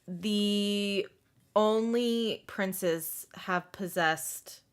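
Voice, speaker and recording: A woman's voice: medium pitch, drawn-out words, clean tone. A woman hosting a group book discussion, talking casually and informally, often saying "like".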